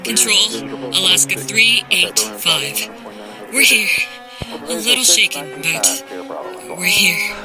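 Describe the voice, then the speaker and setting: A female airline pilot in an audio drama, voiced by an actress, professional in radio procedure. Her pitch moves in a lively way. Music is clearly heard behind her. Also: takes it slowly, long pauses. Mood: alarmed, shaken. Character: professional airline pilot, rattled by a strange object.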